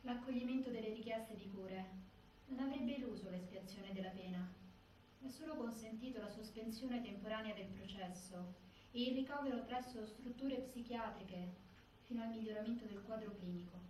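An actress playing a resolute, grieving sister, delivering a measured, articulate stage monologue. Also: female voice, fairly high pitch, warm tone, close to an everyday voice. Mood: grave, resolute. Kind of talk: scripted theatrical monologue. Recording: very echoey, distant, faint noise.

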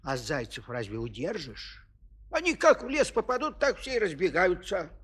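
Husky, fretful old peasant father. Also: high-pitched, huge pitch swings, moderate pace, fairly bright tone, full character voice. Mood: folksy, rueful. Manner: folksy, lamenting, exclaiming and repeating himself. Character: elderly fretful peasant father of three sons. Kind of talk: children's audio play, storytelling to listeners. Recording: 1970s studio audio play.

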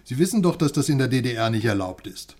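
A man's voice: mid-range voice, animated, fast talker, darkish tone, close to an everyday voice. An actor playing a chatty, cheeky male friend and curious outsider, teasing and conspiratorial, in a radio crime drama.

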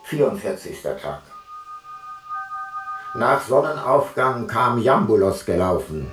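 Low-voiced ancient seafarer, roomy. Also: male voice, sing-song, slow. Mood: grave. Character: ancient male seafarer recounting his expedition logbook. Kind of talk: scripted literary radio-play narration. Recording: roomy sound with music. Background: music.

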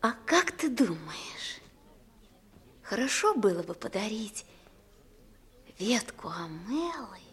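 An actress playing a brisk, knowing lighthouse woman in a 1960s radio play. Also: medium pitch, sing-song, a bit bright. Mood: soft, coaxing.

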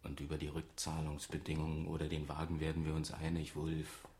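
A man's voice: rumbling low, monotone, a little fast, darkish tone. A man acting in a radio drama as a scheming, insistent office auditor who talks persuasively and conspiratorially. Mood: coaxing, pressing. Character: scheming, insistent male office auditor hiding a lottery win. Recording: roomy echo, faint noise.

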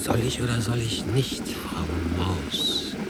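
Gloomy, slow, sardonic older man. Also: fairly low pitch, heavy background noise. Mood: brooding. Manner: terse, dry, questioning. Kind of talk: radio drama acting.

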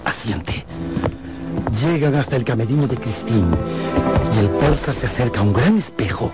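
An actor playing a dramatic storyteller, narrating a radio drama in a measured, suspenseful way. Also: male voice, medium pitch, lively intonation, natural voice. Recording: clear music behind the voice.

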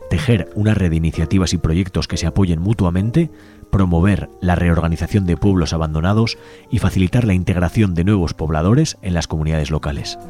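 A man presenting a community radio show, reading out a manifesto and describing a project in a measured, slightly halting way. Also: low-pitched, dark tone, soft background music. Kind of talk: community radio presentation, partly read aloud.

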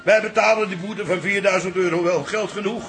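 A man's voice: high-pitched, steady tone, fairly quick, fairly bright tone. A man playing a grumbling older father-in-law in a Dutch radio comedy drama, taunting and sarcastic.

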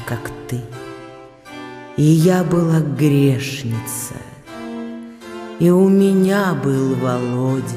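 A woman playing a reproachful, sinful ancient queen speaking from a painting, hushed and mournful, in a radio drama. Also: extremely low pitch, echoey room, in a large hall, pitched below the performer's own voice, full character voice.

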